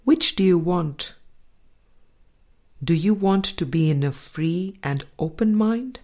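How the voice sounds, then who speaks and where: A low-voiced woman reads a spiritual audiobook at an unhurried pace. Her phrasing is deliberate and measured, with frequent pauses between short phrases. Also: animated, dark tone. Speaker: audiobook reader. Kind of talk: scripted spiritual audiobook narration.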